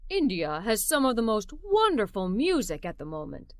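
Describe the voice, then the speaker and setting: A woman voicing a sleepy, cheerful, music-loving uncle in a children's storybook, with a warm, enthusiastic delivery. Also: mid-range voice, huge pitch swings, moderate pace, no room sound, studio quality, pitched below the performer's own voice.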